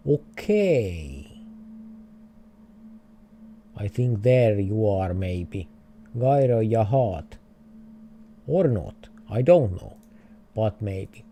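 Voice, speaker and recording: Man, Finnish accent, hesitant game-stream chatter, very slow speech, warm dark tone. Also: medium pitch, slight background noise. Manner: thinking aloud, hesitant, chuckling. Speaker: livestreamer. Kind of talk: casual game livestream commentary.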